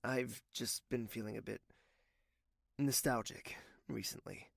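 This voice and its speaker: A man playing a brooding, commanding prince in an audio drama, with a measured, terse and guarded delivery. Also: mid-range voice, steady tone, gravelly, dry recording, clean studio sound. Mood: wistful.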